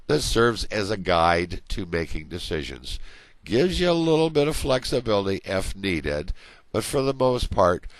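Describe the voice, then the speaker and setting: A man's voice: low voice, gravelly, no echo. A man giving a recorded college management lecture over slides, conversational and full of everyday examples, while he is losing his voice.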